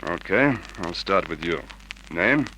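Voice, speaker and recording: Deep, gruff police sergeant. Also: male voice, expressive, slow pace, pushed and bright, exaggerated voice. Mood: skeptical, questioning. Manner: blunt, businesslike, questioning. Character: gruff, brisk male homicide police sergeant. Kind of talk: 1950s radio detective drama acting. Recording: noisy vintage radio drama recording.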